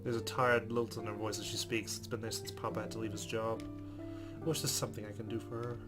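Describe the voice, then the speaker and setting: A man's voice: on the low side, little pitch movement, fast talker. A man reading a visual novel aloud as a let's play host, with casual asides. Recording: clear game music behind.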